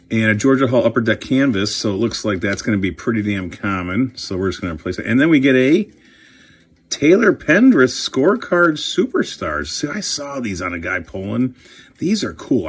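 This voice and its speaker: A man chatting casually and excitably while opening trading card packs, swearing now and then. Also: fairly low pitch, husky. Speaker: career coach and card collector host.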